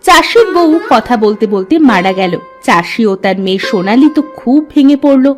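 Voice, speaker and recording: A woman's voice: a little high, lively intonation, moderate pace, faint music behind. A woman reading scripted narration for a cartoon folk tale.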